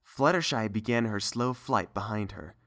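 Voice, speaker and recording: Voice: medium pitch, moderate pace, fairly bright tone. A narrator reading scripted story prose.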